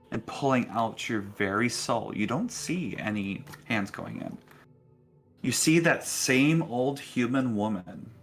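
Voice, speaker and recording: A man narrating a tabletop game as game master in a deliberate, suspenseful, dramatic style. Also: medium pitch.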